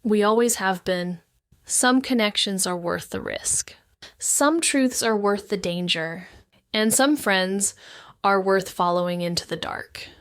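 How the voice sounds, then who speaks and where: A woman's voice: mid-range voice, a little slow, clean tone, no room sound, studio quality, close to an everyday voice. A woman playing a brooding, loyal detective narrating her thoughts in clipped, intense noir audio drama phrases. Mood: hushed, determined.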